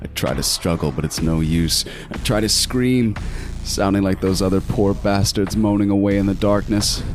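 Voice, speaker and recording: A man's voice: low voice, moderate pace, noisy background, natural voice. An actor playing a trapped male serial killer in a horror audio drama, obsessive and desperate, moving between intense narration and pleading. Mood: grim, haunted. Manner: intense, swinging from murmured narration to frantic pleading.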